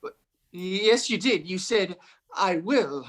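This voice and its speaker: A woman playing an anxious, bewildered young husband in a radio play, halting and stammering. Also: fairly low pitch, animated. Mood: puzzled. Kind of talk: old-time radio play acting.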